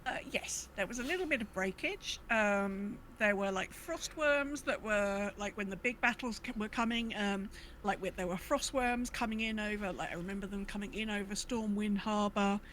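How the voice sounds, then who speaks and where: A woman's voice: medium pitch, moderate pace, fairly bright tone, a little hiss or hum. A British woman co-hosting a podcast, chatting casually and informally about a video game. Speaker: podcast co-host.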